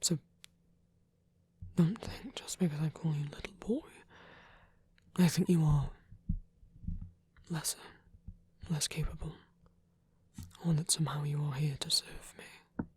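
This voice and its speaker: A performer voicing a gentle, protective vampire priest in a soft, husky whisper, tender and hesitant, as intimate ASMR roleplay. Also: medium pitch, fairly even intonation, measured pace, pauses often. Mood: devoted, soft.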